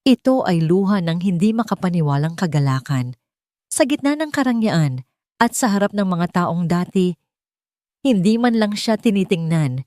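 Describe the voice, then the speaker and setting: A woman reads a dramatic romance audiobook in a lowish, mellow voice. She tells it deliberately and with emotion. The sound is dry and intimate, with no room around it. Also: no room sound. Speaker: narrator of romance stories. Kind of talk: dramatic scripted audiobook narration.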